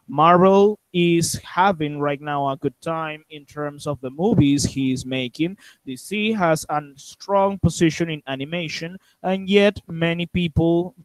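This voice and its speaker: Man moderating a slow video chat. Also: a little high, no echo, rough recording. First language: Spanish. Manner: slow, careful non-native phrasing. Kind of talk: online group chat moderating. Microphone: video call.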